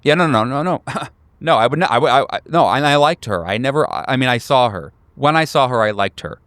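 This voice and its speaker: A man's voice: mid-range voice, animated, a little fast, a bit bright, no room sound, pitched above the performer's own voice, natural voice. A man voicing a painfully awkward young recluse in his twenties in a tabletop horror game, stammering, repeating himself and apologizing. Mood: anxious, defensive.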